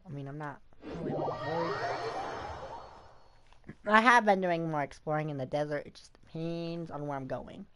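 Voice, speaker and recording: Low-voiced woman doing casual game commentary. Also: a little hiss or hum. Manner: talks to herself and to game characters. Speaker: game streamer. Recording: loud game music behind the voice. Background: game music.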